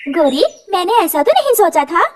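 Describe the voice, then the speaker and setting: Lady doing an honest lil girl bird in a cartoon, super high, earnest and preachy. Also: big pitch swings, moderate pace, slight background noise. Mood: friendly. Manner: earnest, moralizing. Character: earnest, honest, sensible female little bird.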